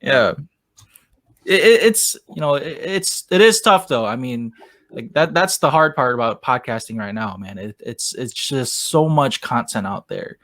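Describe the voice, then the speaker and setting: A man's voice: medium pitch, expressive, measured pace, a bit bright, no room sound. Laid-back male podcast host, dry sound. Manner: laid-back, says man a lot. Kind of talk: casual interview chat.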